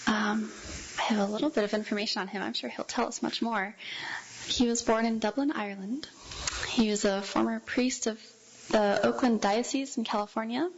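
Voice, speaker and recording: A woman's voice: fairly high pitch, fairly even intonation, moderate pace, muffled recording, a little hiss or hum. A woman leading a church service, introducing the guest speaker in a brief, matter-of-fact way. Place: church hall.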